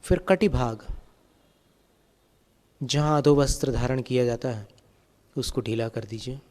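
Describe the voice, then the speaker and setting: A man guiding a meditation as a spiritual teacher, giving calm, gentle, step-by-step instructions. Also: medium pitch, unhurried. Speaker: Jain monk and meditation teacher.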